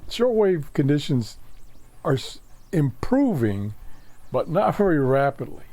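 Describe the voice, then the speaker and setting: A man hosting a casual hobby radio show from his workshop, talking off the cuff in a halting, matter-of-fact way with pauses mid-sentence. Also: a little high, very animated, dark tone, no echo. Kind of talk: casual unscripted radio demonstration. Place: home workshop.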